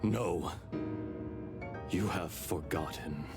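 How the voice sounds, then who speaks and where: Deep, slow ancient dragon spirit. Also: male voice, loud music behind the voice, full character voice. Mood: solemn, gentle. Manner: measured, solemn, reflective. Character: weary, gentle fragment of an ancient dragon's will. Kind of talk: dramatic video game voice acting.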